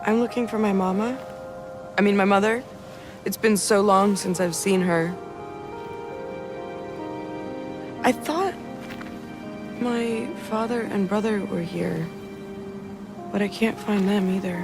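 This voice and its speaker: A woman's voice: medium pitch, measured pace, pauses often, over music, lightly acted. A woman playing a nervous, lost young woman searching for her mother in a video game, speaking timidly with hesitant, faltering phrases. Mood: timid, wistful.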